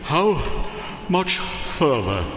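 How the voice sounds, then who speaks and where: An actor playing a tipsy, proud, foolhardy nobleman in live gothic radio theater, theatrical and halting, humming and muttering between phrases. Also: a little high, big pitch swings, over music, full character voice. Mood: impatient. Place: large hall before a live audience. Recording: echoey hall, live stage, faint noise.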